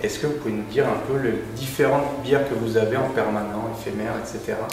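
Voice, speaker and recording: A man's voice: on the low side, fairly even intonation, fast. A man hosts a casual, friendly interview at a brewery, chipping in with short replies. His voice carries a strong room echo. The mic sits some distance away. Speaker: show host. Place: microbrewery shop.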